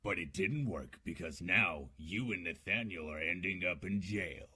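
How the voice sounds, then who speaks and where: Male actor as 1940s radio police boss, very sharp forceful tone, deep, husky, deadpan, tough. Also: moderate pace, slight background noise. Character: gruff, wry police commissioner, man. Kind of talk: 1940s radio drama acting.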